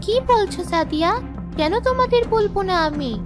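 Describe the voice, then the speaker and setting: Cartoon young wife: childlike very high pitch, trusting, gentle, dramatic delivery, music behind. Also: female voice, animated, moderate pace. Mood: earnest. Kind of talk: exaggerated cartoon dialogue.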